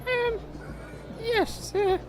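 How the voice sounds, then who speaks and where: A woman's voice: extremely high pitch, steady tone, very slow, music in the background, crowd noise, heavily acted. A woman playing a meek, loyal, hesitant boy squire in a comedic fantasy audio drama. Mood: meek, earnest. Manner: polite, hesitant, deferential.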